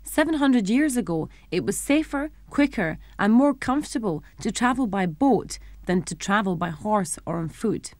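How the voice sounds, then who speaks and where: A young adult woman presenting and narrating a schools history programme, explaining things clearly and simply for children. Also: medium pitch, animated, normal speed, hardly any pauses, no room sound.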